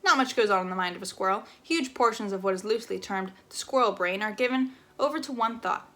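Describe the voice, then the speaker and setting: A woman reading a children's chapter book aloud in an expressive storytelling style. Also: medium pitch, quick pace.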